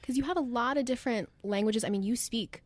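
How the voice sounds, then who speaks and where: A woman's voice: fairly high pitch, fast talker, dry recording. A woman hosting a radio interview, asking questions and encouraging her guest with frequent affirmations. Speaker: radio host. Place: radio studio.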